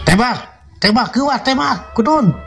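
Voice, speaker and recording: A man's voice: very high-pitched, animated, a little slow, fairly bright tone, voice pushed up, heavily acted. A man voicing a terrified village man in a horror story, stammering and wailing in panic over music. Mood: frantic. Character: terrified village man screaming for help from a ghost.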